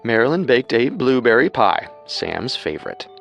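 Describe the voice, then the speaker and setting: A man's voice: mid-range voice, big pitch swings, normal speed, gravelly, lo-fi sound. A man co-hosting a scripted true-crime show as narrator, with music underneath. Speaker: co-host and narrator.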